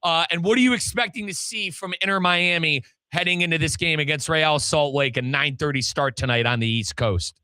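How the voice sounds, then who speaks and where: A bright, punchy American man hosts a soccer talk show. His voice sits a little high and sounds very dry. He speaks emphatically and colloquially, leaning on repetition. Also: fairly quick, hardly any pauses, smooth, no echo. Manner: emphatic, repeats phrases for effect, colloquial. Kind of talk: sports talk commentary and interview.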